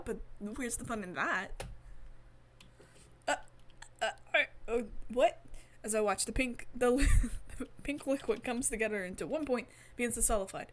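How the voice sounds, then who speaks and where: A woman's voice: a little high, fairly bright tone. A woman reading a visual novel aloud expressively, adding playful, wry asides in casual commentary as a streamer. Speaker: let's-play streamer.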